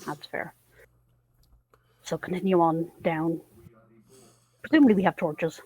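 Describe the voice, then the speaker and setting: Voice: mid-range voice, unhurried, darkish tone. A player in a tabletop game, talking casually and politely with some hesitation.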